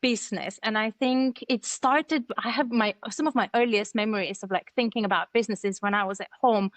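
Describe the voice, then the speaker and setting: Female guest, 30s, native Bulgarian speaker, mid-pitched, articulate conversational explainer, dry room sound. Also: brisk, dry recording. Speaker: interview guest, startup co-founder. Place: plain room at home, video call.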